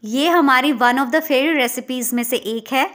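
A woman's voice: high-pitched, takes it slowly, a bit bright. A woman from India hosting a cooking channel, narrating a recipe step by step in an instructional way.

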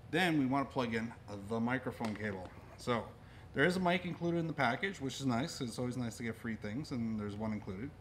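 Middle-aged man, medium-pitched voice, casual unboxing presenter, plain-spoken and explanatory, clearly noisy store recording. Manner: plain-spoken, explanatory, talking while handling gear. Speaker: store presenter demonstrating audio gear. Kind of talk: casual unboxing and product demonstration. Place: indoors in a cluttered audio equipment store. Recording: noisy room recording with clicks.

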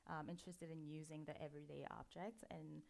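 A woman in her thirties giving a relaxed, chatty talk at a conference, often pausing with ums. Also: deep voice, gravelly. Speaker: conference speaker. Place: dark auditorium, at a lectern before an audience.

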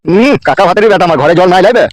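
A man acts out a village boss for a comedy cartoon. He fires out gruff threats very fast in a somewhat high male voice, bullying and jealous. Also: animated, no echo, studio quality. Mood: scolding. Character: foul-mouthed bullying village politician, jealous husband. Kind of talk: amateur comedy cartoon dialogue.

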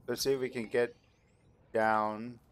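A man's voice: medium pitch, little pitch movement, unhurried. A man giving casual gameplay commentary, rambling and thinking aloud as he hosts. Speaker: gaming video host. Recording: clear background noise, game sound. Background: video game ambience.

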